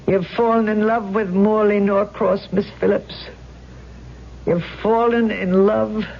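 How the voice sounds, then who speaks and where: An actress playing a grave older woman giving a solemn, foreboding warning in a radio drama. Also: medium pitch. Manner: slow, solemn, foreboding delivery. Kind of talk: 1970s radio drama acting. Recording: dull old radio broadcast, faint hum.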